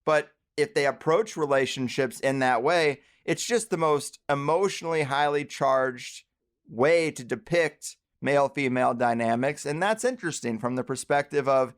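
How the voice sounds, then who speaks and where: A man's voice: mid-range voice, normal speed. A man hosting a podcast interview, speaking conversationally and articulately in long, reflective turns. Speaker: podcast host.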